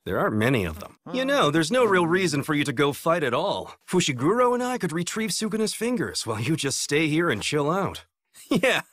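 A man's voice: a little high, huge pitch swings, fairly quick, fairly bright tone, dry recording, full character voice. A man voicing a cocky, playful young sorcerer teacher in an anime dub, with a breezy, teasing, theatrical manner. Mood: playful, upbeat. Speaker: voice actor in an English anime dub. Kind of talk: animated dub dialogue.

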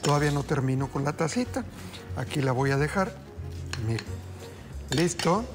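Upbeat TV chef over music. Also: male voice, on the high side, expressive, normal speed. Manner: warm upbeat patter, addresses viewers as family. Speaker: TV chef host. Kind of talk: live cooking demonstration. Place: TV studio kitchen. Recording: background music under the voice.

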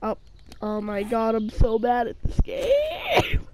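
A woman plays a survival game and talks it through at an unhurried pace, her voice a little off because she's sick. She rambles, restarts her sentences and fills the gaps with "um". Her slightly high voice sounds soft and dark, with a faint hiss behind it. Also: huge pitch swings. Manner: rambling, hesitant, many fillers and restarts. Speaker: gaming channel host. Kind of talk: casual let's-play commentary.

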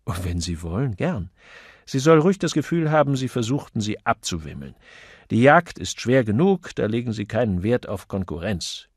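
A man voicing a witty, suave gentleman detective in audiobook dialogue, urbane, wry and playful. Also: lowish voice, sing-song, moderate pace, breathy edge, dry recording, clean studio sound. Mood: playful, instructing.

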